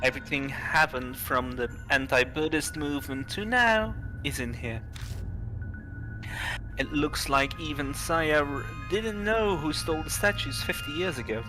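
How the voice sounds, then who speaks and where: A man reading visual novel dialogue and narration aloud on a livestream, halting and stumbling over some words. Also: fairly high pitch, expressive, over music.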